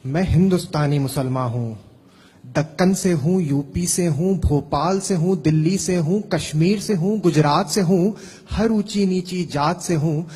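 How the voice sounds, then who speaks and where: A man, a poet, reciting a poem in a rhythmic, measured way. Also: on the high side, a little mellow, breathy edge. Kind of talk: poetry recitation. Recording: long reverb of a hall.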